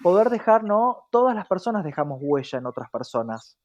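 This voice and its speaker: A man's voice: high voice, big pitch swings, fairly quick, a little mellow. An Argentine man speaking reflectively as an interview guest, often adding short tag questions to check in, on a dull lo-fi recording. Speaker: podcast guest, diversity professional.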